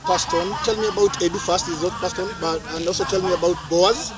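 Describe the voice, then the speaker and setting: A man asking casual questions as an interviewer, heard quietly from off the mic. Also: high-pitched, fairly even intonation, quick pace. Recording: quiet, far off, crowd noise behind.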